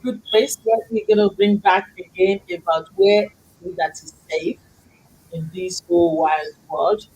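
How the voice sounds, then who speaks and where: A woman's voice: medium pitch, slight background noise, low-quality recording. A middle-aged woman hosting a talk show who speaks English as a second language, talking in an effusive, faith-filled, preachy way. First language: not English. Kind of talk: casual chat show hosting.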